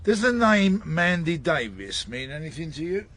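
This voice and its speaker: A sardonic English detective, noisy background. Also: male voice, on the high side, sing-song, normal speed, a bit bright. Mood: stern, accusing. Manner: sardonic, patronising. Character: wry, needling male police detective. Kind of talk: naturalistic radio drama acting. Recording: clearly noisy background ambience.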